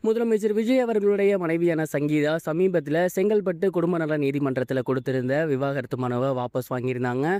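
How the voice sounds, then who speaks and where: Fast-talking man, slightly high voice. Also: warm tone, clear, resonant tone, no echo, studio quality. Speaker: online entertainment news presenter. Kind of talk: scripted celebrity news narration.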